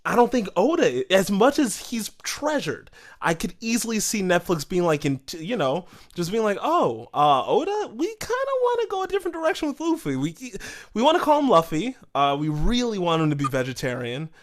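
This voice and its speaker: A man co-hosting a podcast, discussing things casually in an animated way and acting out imagined quotes. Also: high voice, fairly quick. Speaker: podcast co-host.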